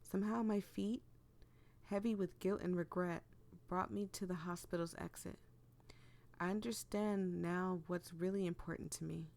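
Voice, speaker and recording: A woman's voice: on the low side, steady tone, normal speed, vocal fry, a little mellow. A woman who is losing her voice to a cold, reading a visual novel aloud and chatting casually as she plays. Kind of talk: casual let's-play narration with read-aloud dialogue. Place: bedroom, propped up in bed.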